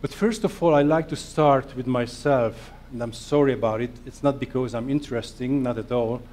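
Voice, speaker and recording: Middle-aged man, Hungarian accent, conference speaker, earnest, halting phrasing, mid-pitched voice. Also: darkish tone. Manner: earnest, self-deprecating, halting non-native phrasing. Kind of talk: conference presentation.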